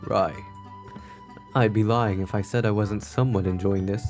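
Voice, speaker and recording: Low-voiced man reading a visual novel aloud. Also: steady tone, normal speed, darkish tone, soft background music. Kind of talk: expressive read-aloud narration with live reactions.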